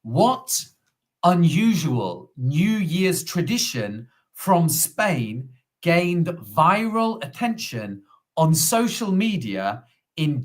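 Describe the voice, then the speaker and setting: Slow, deliberate man with a lively pitch. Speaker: co-host, quiz presenter. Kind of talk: casual live-stream chat, reading quiz questions.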